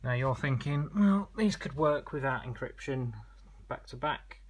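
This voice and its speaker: A man's voice: mid-range voice, animated, a little slow, a little hiss or hum. A man giving an informal product demonstration as an online seller, explaining in a conversational, slightly hesitant way.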